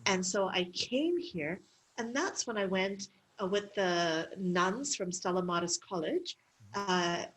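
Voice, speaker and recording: Lively storytelling woman, medical clown. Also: mid-range voice, poor audio. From Canada. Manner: lively storytelling with frequent laughs and fillers. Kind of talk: interview conversation.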